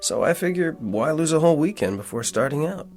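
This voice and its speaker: A man's voice: a little high, expressive, a little fast, darkish tone, soft background music, natural voice. An actor playing an American businessman in his thirties, a family man who gets emphatic and indignant when confused, in an audio drama. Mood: brisk, cheerful. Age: 30s.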